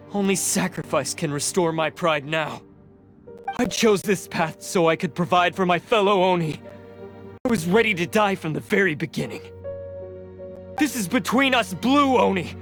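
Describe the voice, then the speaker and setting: Proud, high-voiced young oni. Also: male voice, fairly bright tone. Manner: earnest, formal, dramatic. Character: proud, tormented young male oni, defiant and self-sacrificing. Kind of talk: video game voice acting. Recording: game music behind. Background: game music.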